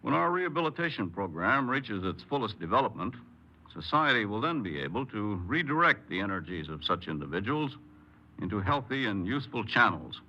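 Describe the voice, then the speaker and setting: A man's voice: on the low side, very animated, brisk. A man speaking as a government official, delivering a formal scripted radio address. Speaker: state corrections official, guest speaker. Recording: muffled 1950s radio recording.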